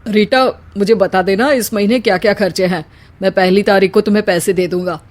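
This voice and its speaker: Woman voicing a gentle, sensible family-story husband. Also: medium pitch, steady tone, brisk, voice pushed down, lightly acted. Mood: calm, caring. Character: patient, sensible middle-class husband, adult man. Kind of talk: dramatised Hindi family storytelling.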